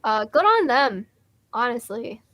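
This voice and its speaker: A young woman chatting eagerly as co-host of a music review, her voice sitting a little high. She gushes and stumbles through restarts and fillers, and her voice is fraying from overuse. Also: very animated, measured pace, fairly bright tone, no echo. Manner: enthusiastic, gushing, many likes and restarts. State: losing her voice. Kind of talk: casual chat.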